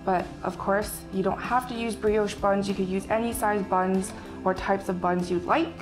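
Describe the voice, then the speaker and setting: A woman's voice: mid-range voice, fairly even intonation, normal speed. Young woman chef talking through a recipe. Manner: friendly step-by-step instructions while working. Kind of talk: casual cooking demonstration. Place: bright home kitchen. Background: background music and sizzling food.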